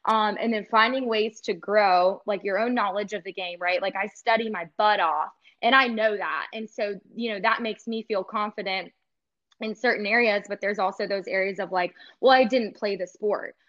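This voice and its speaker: A woman's voice: mid-range voice, moderate pace, a bit bright, dull audio. A woman who works as a sports host and reporter, speaking earnestly in a casual interview with lots of "like" and "you know". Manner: earnest, filler-heavy with many "like" and "you know". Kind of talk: casual podcast interview talk.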